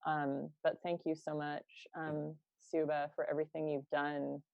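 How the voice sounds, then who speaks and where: A woman moderating a panel conversation over a video call, speaking gently and haltingly with many fillers. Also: low-pitched, level tone, gravelly, no echo. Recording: dry, dull video call audio.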